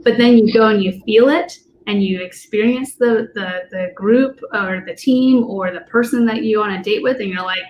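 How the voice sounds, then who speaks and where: A woman's voice: mid-range voice, moderate pace, hardly any pauses, highs cut off, slight background noise. A woman coach who teaches dance, talking loosely with lots of fillers and restarts on a video call panel. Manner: rambling, many fillers and restarts. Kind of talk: casual panel discussion.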